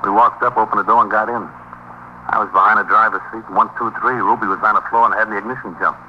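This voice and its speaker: An actor plays a low-voiced New petty thief, wisecracking and slippery under questioning. He talks fast in streetwise slang. It is 1950s radio acting on a dull, slightly noisy recording. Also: steady tone, lightly acted. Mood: glib, explaining.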